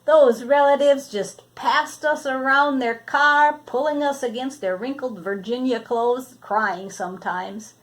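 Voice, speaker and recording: A woman's voice: high-pitched, measured pace, few pauses. An older woman reading a children's storybook aloud, expressively, drawing out words for emphasis. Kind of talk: read-aloud storybook narration.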